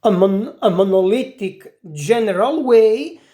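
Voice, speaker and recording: A woman's voice: medium pitch, expressive, mellow. A woman, talking to camera in a casual monologue and often stopping to search for words. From Croatia. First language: Italian. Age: 40s. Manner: halting, searching for words, many restarts. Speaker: IT specialist.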